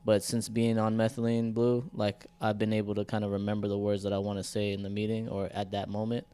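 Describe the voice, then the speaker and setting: Low, flat-voiced young man chatting casually. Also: fairly quick, smooth. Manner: loose, profane, slangy storytelling. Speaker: podcast co-host. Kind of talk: casual chat.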